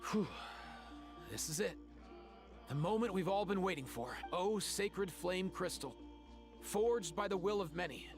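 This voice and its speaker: A man's voice: high-pitched, lively intonation, a little slow, long pauses. A man playing a laid-back, friendly healer in a fantasy video game, with an earnest, easygoing delivery. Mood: solemn. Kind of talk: video game character acting. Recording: game music behind the voice.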